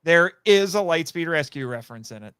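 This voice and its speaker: A man chatting casually as a podcast guest, animated, often interrupting himself and thinking out loud. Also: fairly high pitch, a little fast, smooth, dry recording. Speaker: guest, audio drama writer and voice actor. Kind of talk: casual fan chat.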